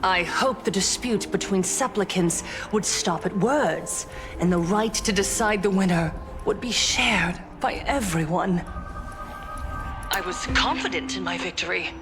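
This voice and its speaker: A woman's voice: medium pitch, measured pace, lightly acted. An actress voicing a composed woman broadcaster in a video game, with a formal, announcer-like delivery. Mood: earnest. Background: game music.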